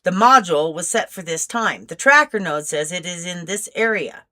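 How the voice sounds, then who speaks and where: Woman reading as a stern, grumpy older male agent, bright-toned. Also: lowish voice, animated, moderate pace. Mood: businesslike. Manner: stiff, formal speech.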